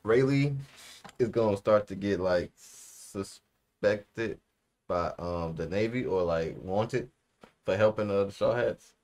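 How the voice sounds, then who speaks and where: A man's voice: on the low side, slow pace, a little mellow. A young man in casual reaction chat as a show host, talking in slang with plenty of bro and man. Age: young adult. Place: small room indoors, seated.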